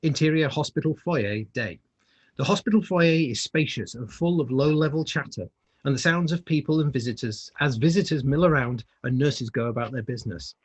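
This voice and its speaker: A man with a husky voice narrating a screenplay in a dramatic read-through. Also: mid-range voice, expressive, a little fast, muffled recording. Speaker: script reader.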